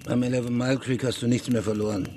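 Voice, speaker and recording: An actor playing a gruff, domineering outlaw in a German radio drama, lecturing and scornful. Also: male voice, medium pitch, flat delivery, fast, mellow, slight background noise, lightly acted. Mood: brusque, scornful. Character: gruff, domineering male bushranger mentoring a boy.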